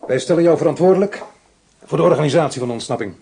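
An actor playing a shrewd, calm male police inspector who questions in a probing, measured, dry way, in a radio play. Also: on the high side, slightly rough.

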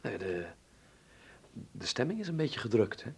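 An actor playing an earnest, eager young Dutchman in a radio drama, expressive and a little hesitant. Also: mid-range voice, moderate pace, gravelly, husky, heavily acted. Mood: uneasy.

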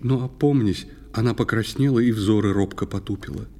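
A man narrating a fairy tale in verse, theatrically, with lyrical, measured storytelling. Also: fairly low pitch, a little mellow. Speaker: narrator of a children's musical audio play. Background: music.

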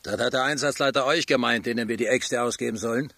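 An actor playing a gruff, suspicious old toolmaker in a radio play, speaking curtly and deliberately in a restrained voice. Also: male voice, mid-range voice, bright, forceful tone, no room sound. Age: older. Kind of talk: German radio play acting. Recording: studio radio play, music underneath.

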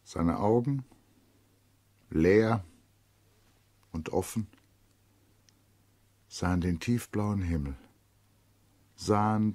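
A man narrating a literary radio play dramatically, in measured short phrases with expressive storytelling. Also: fairly low pitch, dry recording. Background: prominent music.

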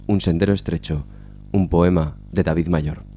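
Man, poetry reading, very low pitch, dark soft timbre, slight background hum. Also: steady tone, moderate pace. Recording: faint hum behind voice.

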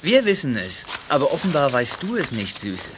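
A man's voice: medium pitch, wildly swooping pitch, normal speed. An actor playing an insolent, menacing young male lout in a children's radio play, talking with mocking threats. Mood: mocking menace. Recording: noisy outdoor scene with birdsong, distant.

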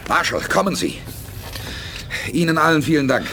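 An actor playing a confident, decisive space leader who stays calm under fire in a German sci-fi radio play. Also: male voice, a little high, moderate pace. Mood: urgent. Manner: decisive, commanding. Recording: loud vehicle and ambient effects noise.

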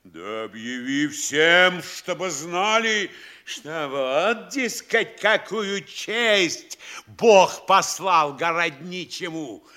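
An actor playing a boastful middle-aged provincial mayor in a classic Russian stage comedy for audio, blustering and exclamatory, swinging from glee to threats. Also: male voice, fairly high pitch, animated, extremely slow, bright, forceful tone, exaggerated voice. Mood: gloating, threatening.

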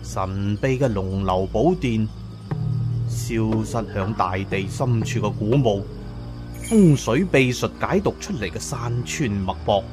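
A man with a Cantonese accent narrating a scripted story in a measured, dramatic, suspenseful storyteller's delivery. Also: on the low side, animated, over music. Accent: Hong Kong or Guangdong Cantonese. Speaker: audiobook narrator.

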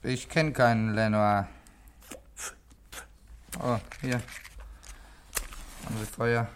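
An actor playing a defiant, cynical young vagrant with bad lungs, insolent and evasive, in a 1960s radio play. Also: male voice, medium pitch, fairly even intonation, takes it slowly, close to an everyday voice. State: sickly, coughing. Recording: noisy old radio recording.

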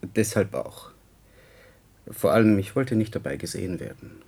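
A man's voice: low-pitched, animated, moderate pace, gravelly, darkish tone, breathy edge, exaggerated voice. A man playing a driven, impatient young physicist in a German science fiction radio play, speaking insistently. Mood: urgent, pleading. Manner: intense, insistent, lecturing.

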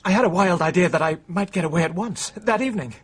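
An actor playing an anguished, browbeaten and bitter stepson, speaking emotionally and haltingly in a radio drama. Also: male voice, sits high, slightly rough.

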